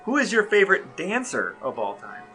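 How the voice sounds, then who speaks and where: A man co-hosting a conversational podcast, speaking in a deadpan, mock-serious way. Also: sits high, brisk, faint music behind. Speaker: podcast co-host. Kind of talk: conversational podcast talk and interviewing.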